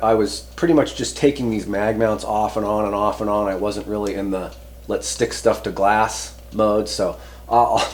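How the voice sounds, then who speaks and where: Male hobby host, lowish pitch, off-the-cuff and rambling delivery, dryly understated. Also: darkish tone. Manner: rambling, hesitant, informal. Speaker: host of a CB radio hobby channel. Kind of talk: casual product test walkthrough.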